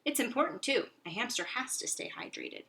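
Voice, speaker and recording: A woman's voice: on the high side, animated, brisk, bright, forceful tone, voice pushed up. A woman voicing a self-satisfied little male pet hamster from a children's storybook, in a boastful, theatrical, exclamatory way. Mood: proud, excited. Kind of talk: children's storybook read-aloud.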